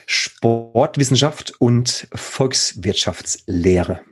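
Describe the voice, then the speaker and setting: A man's voice: mid-range voice, expressive, very slow, husky, faint music behind. A man, a mental coach talking casually and enthusiastically in an interview. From Baden, Germany. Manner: enthusiastic, rambling, slangy.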